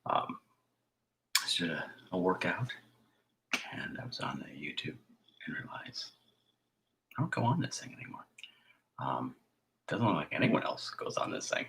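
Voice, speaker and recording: Deep, raspy man chatting on a live stream. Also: sing-song, normal speed, long pauses. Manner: hushed at times, drifting into whispers; chatty, with fillers and restarts. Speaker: filmmaker hosting a live stream. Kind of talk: casual rambling live stream chat.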